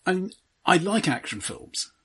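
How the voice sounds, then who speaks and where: A man co-hosting a casual film discussion, arguing his points in a measured, analytical way. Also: high voice, very animated, bright, forceful tone, breathy edge. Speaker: podcast co-host.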